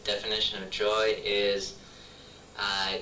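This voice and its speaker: Halting man from Canada, low and flat. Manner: halting, trailing off. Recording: distant, roomy, noisy recording.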